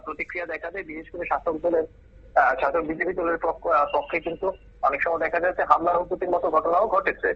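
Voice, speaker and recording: A male news correspondent giving an unscripted report over a telephone line, halting often with filled pauses. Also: a little high, a little hiss or hum. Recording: dull, muffled telephone line.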